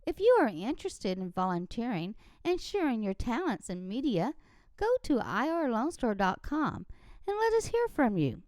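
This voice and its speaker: Lively woman radio host, very animated. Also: on the high side, normal speed, no room sound, clean studio sound. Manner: upbeat, friendly invitation. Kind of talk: station promo announcement.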